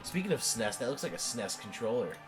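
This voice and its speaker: A man's voice: medium pitch, sing-song, a little fast, over music, a crowd in the background. A young man co-hosting a gaming show, giving casual, opinionated and joking commentary.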